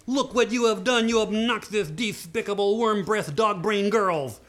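A storyteller voicing an angry, insulting little bearded dwarf man with indignant, drawn-out delivery. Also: mid-range voice, a bit bright, voice pushed up, lightly acted. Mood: angry, demanding.

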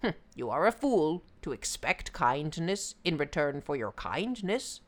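A woman's voice: deep voice, sing-song, unhurried, full character voice. Woman voicing an embittered old talking tree. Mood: bitter. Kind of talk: oral folk tale storytelling.

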